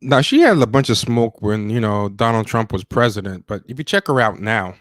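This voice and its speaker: Low-voiced man chatting on a livestream. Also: expressive, fairly bright tone, dry recording, studio quality. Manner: rambling, halting, self-interrupting. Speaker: livestream host. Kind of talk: casual chat, news commentary.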